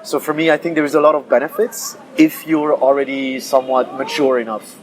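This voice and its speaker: A man in his thirties from France chats as an interview guest, pausing often on fillers and restarting his sentences. His pitch sits in a mid range. Loud crowd chatter fills the space behind him. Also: level tone, poor audio. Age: 30s. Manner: halting, many fillers and restarts. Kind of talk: casual interview chat.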